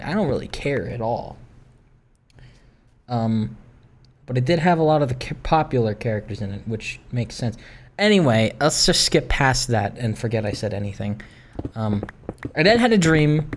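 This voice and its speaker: A gaming video creator chatting casually while playing, rambling in a hesitant, halting way and often trailing off. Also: mid-range voice, a little mellow. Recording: clearly noisy, with clicks.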